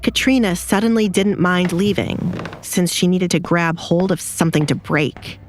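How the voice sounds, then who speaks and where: A woman's voice: lowish voice, steady tone, normal speed, slight background noise. A woman reading a scripted dramatic story as an audiobook narrator.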